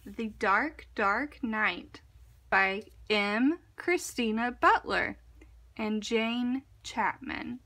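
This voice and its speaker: A woman reading a children's picture book aloud in an expressive, deliberate, dramatic narration style. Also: on the high side. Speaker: storybook reader.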